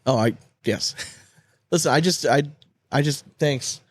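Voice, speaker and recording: Man, medium pitch, blunt and emphatic, profane, casual podcast host, close dry recording. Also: unhurried, dry recording. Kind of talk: casual sports podcast chat.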